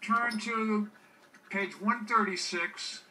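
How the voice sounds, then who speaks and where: Bright-voiced man on distant, noisy video call. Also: high-pitched, takes it slowly. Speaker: poet. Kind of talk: introducing and reading a quote.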